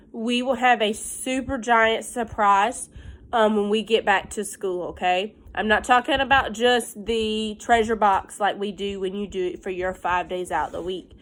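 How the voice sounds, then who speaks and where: A woman's voice: medium pitch, moderate pace, fairly bright tone. A woman teacher talking and reading aloud to young children, explaining things simply with little checking questions, sounding a bit congested from pollen. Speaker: elementary school teacher.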